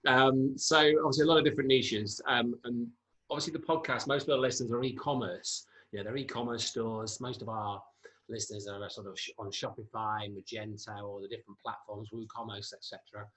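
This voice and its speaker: A man's voice: medium pitch, fairly even intonation, a little fast, fairly bright tone, low-quality recording. Rambling male podcast host. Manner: rambling, hesitant questions with fillers. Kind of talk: casual interview questions.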